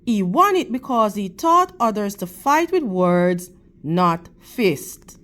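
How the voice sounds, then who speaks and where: A woman reading a picture book aloud to children like a teacher, in deliberate, measured phrases. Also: medium pitch, very animated, a bit bright. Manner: deliberate, measured phrasing with questions and praise addressed to young listeners.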